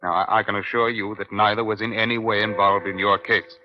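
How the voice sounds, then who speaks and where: An actor playing a calm middle-aged police inspector in a 1950s radio crime drama, measured, formal and dryly probing. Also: male voice, on the low side, lightly acted. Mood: firm, reasoning. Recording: dull, band-limited old radio recording.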